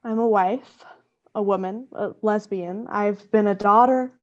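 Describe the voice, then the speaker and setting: A woman's voice: mid-range voice, unhurried, highs cut off, natural voice. A woman playing an intense, obsessive female scientist in a radio play, with earnest, formal, dramatic delivery. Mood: tense. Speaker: voice actor.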